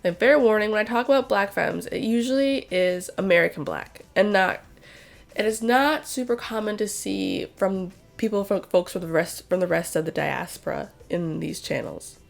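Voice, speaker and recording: A hoarse, congested woman chats through a casual commentary video. Her voice has a gravelly, fry-heavy texture and sits at an ordinary pitch for a woman. She talks in a chatty, opinionated way. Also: moderate pace. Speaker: art YouTuber. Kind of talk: casual commentary while drawing.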